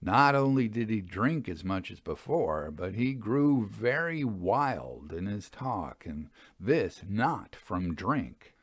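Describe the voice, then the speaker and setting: A man narrates a vintage tale of the uncanny as an audiobook reader. His delivery is slow and measured, with lively rises and falls in pitch. The sound is dry and close, free of room echo. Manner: measured, expressive storytelling. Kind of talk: scripted narration of a first-person Victorian railway ghost story.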